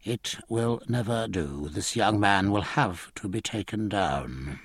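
Man as young lady, storybook character, self-assured, coolly polite, commanding, lively intonation, dry recording. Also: lowish voice, takes it slowly, slightly rough, dry recording, voice pushed down, exaggerated voice. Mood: disapproving.

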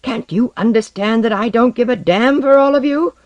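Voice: mid-range voice, moderate pace, dry recording, dull audio, pitched above the performer's own voice, natural voice. A narrator voicing an arrogant, exasperated man who spits out contempt in a story reading. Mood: scornful. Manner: contemptuous, shouting outbursts. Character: high-voiced, venomous, exasperated man, an arrogant outcast space surveyor.

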